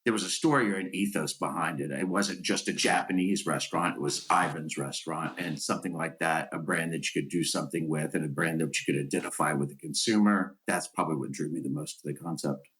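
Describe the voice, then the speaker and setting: Low-voiced man talking business operations. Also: fast, hardly any pauses, fairly bright tone. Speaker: franchise operations executive. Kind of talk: interview answers.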